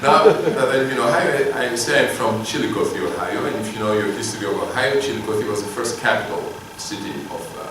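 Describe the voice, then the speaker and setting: A middle-aged man from Turkey, giving an informal university lecture in a halting, filler-heavy way with self-deprecating humor. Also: mid-range voice, recorded from a distance, background noise. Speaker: guest lecturer. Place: large lecture hall. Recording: echoey hall heard from afar.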